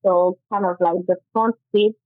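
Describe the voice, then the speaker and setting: A woman's voice: fairly low pitch, fairly even intonation, no room sound. Woman, earnest. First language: Haitian Creole. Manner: earnest, halting with restarts. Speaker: guest author. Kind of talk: interview, personal testimony. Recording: tinny phone-like line.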